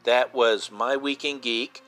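Male podcast host, fifties: bright, punchy, informal fan chat, repeats points for emphasis, faint music bed. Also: mid-range voice, fairly even intonation, slow. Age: 50s. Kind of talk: casual solo podcast talk.

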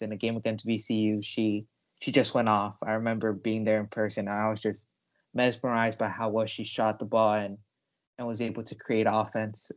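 A young man co-hosting a sports podcast, talking casually with emphatic, enthusiastic delivery. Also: on the low side.

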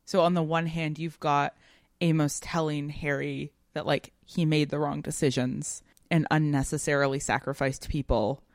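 A woman's voice: low voice, little pitch movement, normal speed, dry recording, clean studio sound. A woman co-hosting a podcast, talking through literary ideas in a thoughtful, analytical, conversational way peppered with "like" and "right?". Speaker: podcast co-host.

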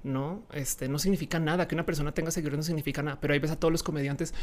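A woman's voice: sits low, fairly even intonation, very fast. A young woman from Colombia, a YouTuber guest, speaking frankly in a casual interview and slipping into acted quotes.

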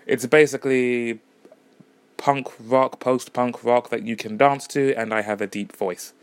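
A man's voice: medium pitch, steady tone, a little slow, clear, resonant tone, no room sound. A man hosting his own podcast alone, musing in a rambling, self-deprecating way. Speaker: podcast host and musician. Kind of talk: casual solo podcast chat.

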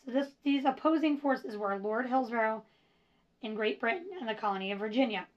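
A woman hosts her own history podcast in a loose, chatty way, wandering into self-deprecating asides. Her voice sits a bit high and is going hoarse. The recording sounds dull and lo-fi. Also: a little fast. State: losing her voice. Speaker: podcast host.

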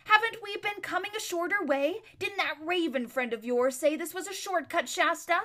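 A woman's voice: very high voice, moderate pace, fairly bright tone, voice pushed up. A woman voicing a proud, pompous male warhorse who talks down to others in a grand, condescending drawl. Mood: complaining.

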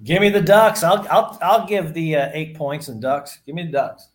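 A man with a fairly high voice trades casual sports banter as a podcast co-host. He is blunt and wry, speaking freely among friends. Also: moderate pace.

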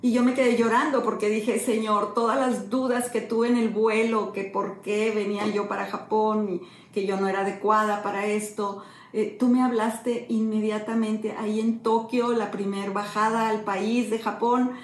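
A middle-aged woman pastor, telling a personal testimony earnestly and slowly. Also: mid-range voice, flat delivery. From Mexico. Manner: earnest storytelling, slow and emotional. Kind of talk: sermon-style testimony. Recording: echoey room, faint ambience.

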